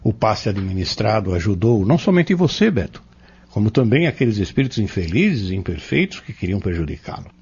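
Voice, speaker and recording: An actor playing an earnest, patient spiritual mentor in an audio drama, courteous and explanatory. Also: male voice, lowish voice, very animated, brisk, a little mellow, breathy edge, dull audio, heavily acted. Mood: patient, instructive. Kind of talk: audio drama dialogue, didactic.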